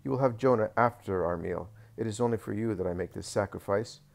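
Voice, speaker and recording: A man voicing a greedy, proud rich landowner with a squeaky, fox-like voice, pleading and boasting. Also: brisk, creaky. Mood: wheedling.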